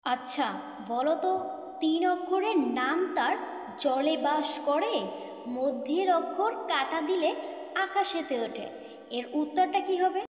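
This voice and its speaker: A woman's voice: sits high, a little slow, long pauses, echoey room, in a large hall, muffled recording. A woman playing a kindly female fairy in a cartoon, speaking in a formal, encouraging way. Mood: stately, testing. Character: kindly fairy queen posing riddles, female. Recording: music playing underneath.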